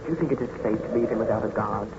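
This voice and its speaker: A man's voice: lowish voice, racing, gravelly. An actor playing a dutiful, uneasy male ship's first mate in an old-time radio drama, speaking formally and deferentially. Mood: grave, worried. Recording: dull, very noisy old radio recording.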